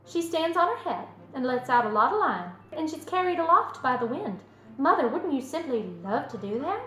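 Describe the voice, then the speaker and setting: A woman voicing an eager, imaginative, stubborn young farm girl in a storybook, earnest and excitable. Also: sits high, moderate pace, voice pushed up. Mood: excited, insistent. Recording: roomy echo, music underneath.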